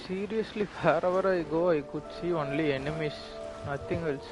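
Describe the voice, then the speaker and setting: A man's voice: fairly high pitch, moderate pace, low-quality recording. A man chatting casually as he live streams a video game. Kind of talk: casual gameplay chat. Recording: loud game music over voice. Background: game music and sound effects.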